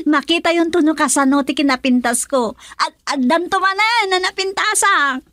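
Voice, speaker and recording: A spoiled young Ilocano woman in a radio drama, with a high voice that swoops freely. She is gushing and playful, and the sound is dry and close. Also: expressive, moderate pace, pushed and bright, no room sound, exaggerated voice. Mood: delighted, playful. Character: bubbly, spoiled young adult daughter fond of shopping.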